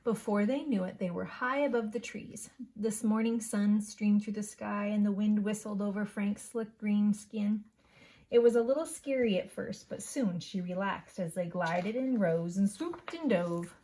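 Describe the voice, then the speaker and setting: A woman reads a storybook aloud for children. She is a school principal with a medium-pitched voice and an expressive, unhurried way of telling it. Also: rough recording.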